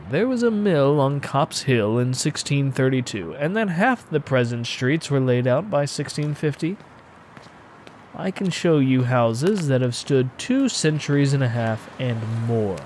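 A man's voice: mid-range voice, a little mellow, slight background noise. A man reading a horror story aloud as an impassioned, scornful, secretive painter obsessed with macabre antiquity, in a theatrical, emphatic manner. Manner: theatrical, emphatic, declamatory, with exclamations. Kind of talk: dramatic audiobook reading of horror fiction.

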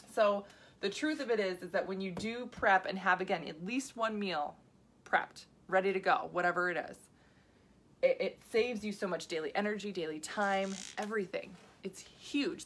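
A woman in her thirties talks to her viewers like a health coach on a live stream. She rambles in a chatty way, with restarts and side stories. Her voice sits at an ordinary middle pitch. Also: a bit bright.

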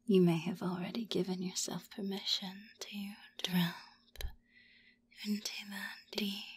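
A woman whispering a guided ASMR hypnosis script in a breathy voice, soothing yet commanding and suggestive, with drawn-out pauses. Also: lowish voice, little pitch movement, a little hiss or hum.

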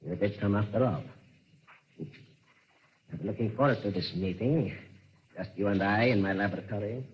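An actor playing a megalomaniac mad scientist in a vintage radio thriller, theatrical and taunting. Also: male voice, lowish voice, breathy edge. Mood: coldly boastful. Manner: theatrical, gloating, deliberate taunting. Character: megalomaniac mad scientist inventor, gloating and menacing. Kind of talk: 1940s radio thriller acting. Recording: muffled, noisy vintage radio recording.

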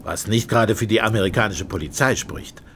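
An actor playing a skeptical, proud English police inspector who asks blunt questions in a German radio play. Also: male voice, lowish voice, fairly quick, a bit bright, a little hiss or hum, lightly acted.

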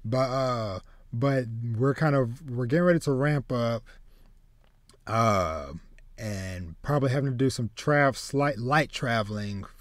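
A man in his thirties hosting a casual podcast, speaking haltingly and often repeating words. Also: mid-range voice, dry recording. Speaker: podcast host. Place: small home room with shelves, video call. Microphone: close desk mic.

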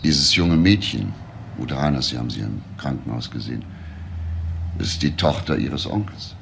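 Police detective, deep voice, composed, probing, deliberate, radio crime drama, slight background noise. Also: male voice, expressive, creaky, fairly bright tone, exaggerated voice. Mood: stern. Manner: probing, deliberate, clipped questions. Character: composed, persistent male police detective questioning witnesses. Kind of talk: German crime radio play acting.

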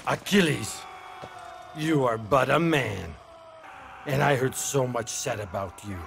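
An actor playing a haughty, menacing male foe in a game, taunting in a creaky voice. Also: mid-range voice, slow pace, a bit bright, a little hiss or hum. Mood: mocking, menacing.

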